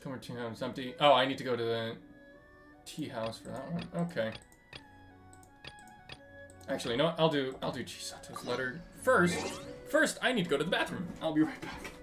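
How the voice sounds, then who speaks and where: A video game streamer talks casually through live play in a medium-pitched voice. The talk is chatty, often answering the characters directly, with clear game music underneath. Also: expressive, brisk, pauses often.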